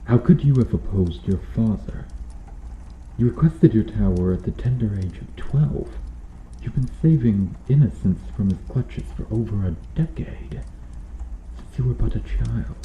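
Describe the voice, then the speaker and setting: A man playing a stern medieval knight in an ASMR roleplay, questioning formally and earnestly with dramatic pauses. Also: lowish voice, echoey room, narrow, tinny audio, a little hiss or hum. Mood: probing, solemn. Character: stern medieval knight interrogating an accused noble witch.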